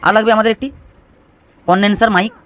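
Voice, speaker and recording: A man's voice: high-pitched, steady tone, fast talker, fairly bright tone. A man giving an informal step-by-step DIY electronics tutorial, explaining and restating phrases as he goes.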